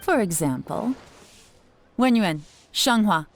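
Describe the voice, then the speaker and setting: An actress playing a calm, composed female spymaster in a game dub, measured and knowing. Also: mid-range voice, a little hiss or hum. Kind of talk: video game dub acting.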